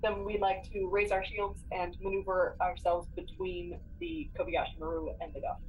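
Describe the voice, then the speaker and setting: A woman's voice: on the low side, level tone, normal speed, slight background noise, low-quality recording. A woman playing a tabletop role-playing game, casually talking through her decisions.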